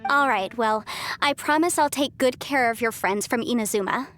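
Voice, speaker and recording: A woman's voice: a little high, normal speed, a bit bright, close to an everyday voice. A woman playing an earnest, kindly and cheerful young woman in a video game, speaking politely and sincerely. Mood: friendly. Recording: faint music behind the voice.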